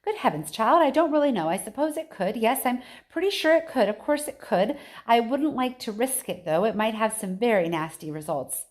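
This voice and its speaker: A woman voicing an excitable, fretful, eccentric male factory owner in a storybook, full of exclamations. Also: on the high side, moderate pace, lightly acted. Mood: flustered. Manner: exclamatory, fretting.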